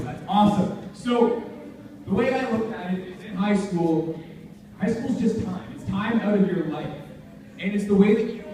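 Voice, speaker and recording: A young man with a high voice gives an informal motivational talk, speaking directly to his listeners. He is far from the mic in a very echoey space, with clear noise on the recording. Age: young adult. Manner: informal, chatty, addressing the audience directly. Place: large echoey room, before a live audience. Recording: very echoey room, distant mic, noisy.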